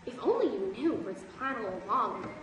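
Echoey high-voiced earnest schoolgirl played by young woman. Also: very animated, moderate pace. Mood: confessing. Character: earnest, protective rhyming schoolgirl friend. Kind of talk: amateur school stage play, rhyming dialogue. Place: school theatre stage. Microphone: distant camera microphone. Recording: echoey theatre stage, far off, noisy.

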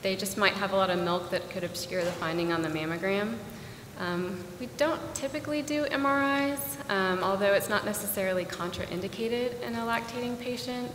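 A woman's voice: mid-range voice, moderate pace, fairly bright tone, slight background noise. A woman in her thirties, a radiologist on a conference panel, explaining carefully with frequent ums. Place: large conference ballroom. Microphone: table microphone. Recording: echoey hall with long reverb.

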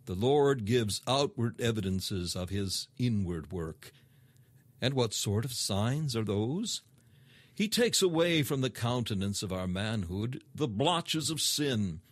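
A man reading a scripted sermon as audiobook narration in a formal, measured, emphatic manner. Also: medium pitch, expressive, no room sound. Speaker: sermon reader.

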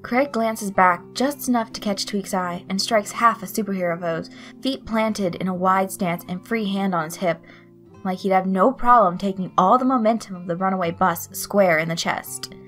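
A woman reading fan fiction aloud in an expressive storytelling style. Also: medium pitch, normal speed. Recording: soft music behind the voice. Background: guitar loop, night insect sounds.

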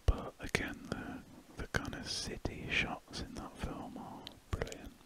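Breathy whispering British man. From United Kingdom. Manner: rambling, hesitant, with trailing pauses. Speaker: ASMR artist narrating while drawing. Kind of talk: whispered ASMR ramble. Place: indoors at a desk, drawing. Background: pen scratching on paper.

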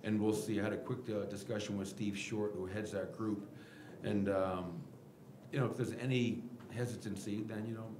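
Middle-aged coach guy at a press table, lowish voice, roomy echo and steady hiss behind. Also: normal speed. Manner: candid, animated, gestures while explaining. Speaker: basketball head coach. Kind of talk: post-game press conference answers. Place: press room at team podium. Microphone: table mic in front of him.

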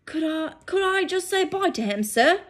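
A man's voice: extremely high pitch, lively intonation, moderate pace, a bit bright, voice pushed up. A woman voicing a huge, shaggy, tender-hearted giant man who is tearfully sobbing in a storybook.

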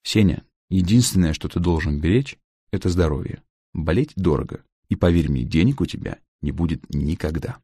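A man reading as a dry, gravelly old grandmother. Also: low voice, expressive, moderate pace, warm tone, no echo, heavily acted. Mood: dry advice. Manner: dry, blunt, aphoristic. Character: sharp-tongued, wise old grandmother lecturing her grandson.

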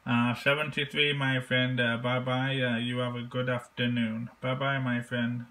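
A man making an amateur radio contact, courteous and halting, often repeating his phrases. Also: medium pitch, little pitch movement, sharp tone. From England.

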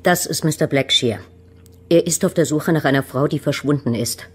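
Deep-voiced, husky, protective mother. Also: moderate pace. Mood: defensive. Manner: stern, defensive. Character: protective, indignant mother of a grown daughter. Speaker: actor in a radio play. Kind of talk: German radio drama acting.

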